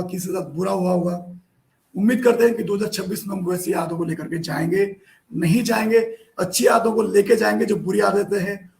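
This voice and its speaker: A hoarse man hosting a live online poetry meet from a remote spot with a poor network, often apologizing and chasing guests about their cameras. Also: high voice, a little mellow. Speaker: live stream host.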